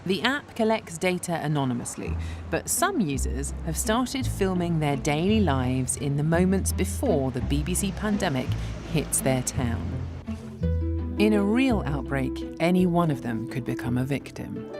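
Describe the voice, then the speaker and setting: A woman presenting a documentary in clear, measured scripted narration. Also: low voice, expressive. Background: background music.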